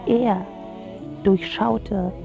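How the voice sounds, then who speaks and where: An actress playing a spoiled, smitten teenage girl who narrates a radio drama in a wry, confiding way with dramatic pauses. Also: medium pitch, fairly even intonation, gravelly, mellow, muffled recording, soft background music. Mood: dreamy, smitten. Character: spoiled rich teenage girl, smitten, sharp-tongued about boys.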